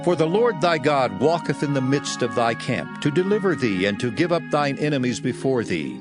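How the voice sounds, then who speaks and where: A man's voice: medium pitch, big pitch swings, normal speed. A man reading scripture as a dramatized audio Bible narration, in a solemn, declamatory manner. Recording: music bed under voice. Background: music.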